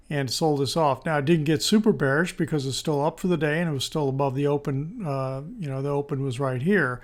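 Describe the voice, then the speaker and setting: A man with a fairly high, slightly hoarse voice talks through stock charts as the presenter. His delivery is informal and tentative, and he works out his thoughts aloud while commenting. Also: darkish tone. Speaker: stock market video presenter. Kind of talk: screen-share market analysis commentary.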